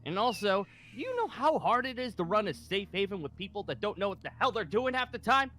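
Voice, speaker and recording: A man's voice: mid-range voice, expressive, normal speed, fairly bright tone. A man playing an exasperated, sarcastic military colonel in an improvised video game roleplay, repeating himself as he goes. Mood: sarcastic anger. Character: exasperated, sarcastic colonel running a zombie survivor safe haven. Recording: music behind the voice.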